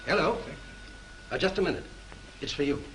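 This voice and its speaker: A precise, courteous makeup man in an old 1930s movie, acted by a man. The voice sits fairly high and moves up and down a lot, formal in phrasing, with faint soundtrack hiss behind it. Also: expressive, normal speed, lightly acted. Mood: polite, guarded. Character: precise, polite studio makeup man, proud of his craft. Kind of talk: 1930s film acting. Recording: hissy early 1930s film soundtrack.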